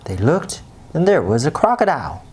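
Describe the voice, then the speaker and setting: A woman's voice: low-pitched, very animated, normal speed, a little mellow, slightly rough. A woman reading a children's bedtime story aloud as storyteller.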